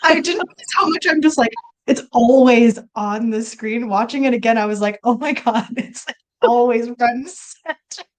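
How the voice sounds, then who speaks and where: Giggly woman actor chatting on a video call, voice on the high side. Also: normal speed, low-quality recording. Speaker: stage actor. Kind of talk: casual chat on a video call.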